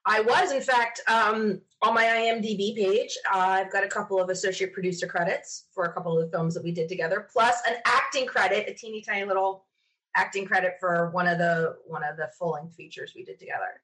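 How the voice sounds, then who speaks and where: A woman's voice: medium pitch, fairly quick, fairly bright tone, rough recording. An American woman talking casually as a guest in a podcast interview. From South Jersey, United States.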